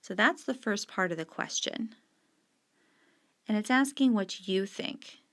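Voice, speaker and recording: A woman's voice: medium pitch, a little slow, fairly bright tone. A middle-aged woman teacher patiently explaining discussion questions to students, stressing key words while she marks up the page with a pen. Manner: annotating on screen with a pen while talking.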